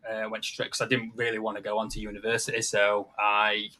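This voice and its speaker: A man's voice: fairly low pitch, monotone, brisk, bright, forceful tone. A man with a Sheffield accent chatting casually as an interview guest, down-to-earth and self-effacing. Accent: Sheffield, northern English. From Sheffield, England. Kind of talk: casual chat.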